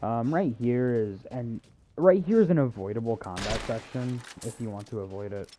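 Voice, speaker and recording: A man's voice: mid-range voice, moderate pace, mellow. Guy walking thru a video game, casual n kinda hesitant, wry, some noise and clicks behind him. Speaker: video game walkthrough host. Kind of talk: casual gameplay commentary.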